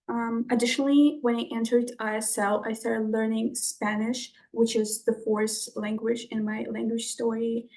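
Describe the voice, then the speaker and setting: A teenage girl from Uzbekistan, a native Russian speaker, presenting a student research project over a video call in a measured way with ums. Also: on the high side, darkish tone, rough recording. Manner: measured, with ums between phrases. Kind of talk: presentation talk.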